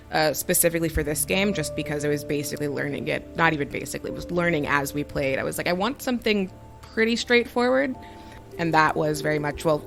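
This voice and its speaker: A woman with a low voice and heavy vocal fry chats casually on a podcast panel. She tosses in wry asides and corrects herself as she goes. Music plays behind her. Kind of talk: casual chat.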